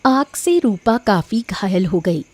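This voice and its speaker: A woman's voice: medium pitch, expressive, slow, darkish tone, slight background noise. A woman narrating a scripted story as its narrator.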